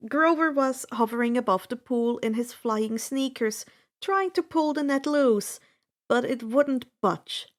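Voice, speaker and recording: A woman reading a first-person adventure story aloud as an expressive, dramatic narrator. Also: fairly high pitch, a little slow. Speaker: audiobook-style fan fiction reader.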